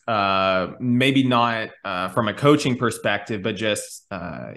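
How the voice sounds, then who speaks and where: A man's voice: on the low side, a bit bright. A man in his thirties hosting a conversational podcast on a video call, explaining his points with emphasis and rephrasing as he goes. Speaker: podcast host, fitness coach.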